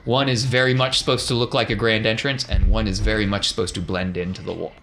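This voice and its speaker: A man's voice: on the low side, flat delivery, fast talker, fairly bright tone, a little hiss or hum. A man working as game master, narrating a tabletop roleplaying game in a conversational, descriptive way. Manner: conversational, descriptive scene-setting.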